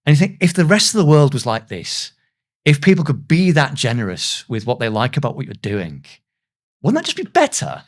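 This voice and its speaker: A man speaking reflectively as an interview guest, earnest and self-deprecating, with halting pauses as he gathers his thoughts. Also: mid-range voice, huge pitch swings. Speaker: voice actor, podcast guest.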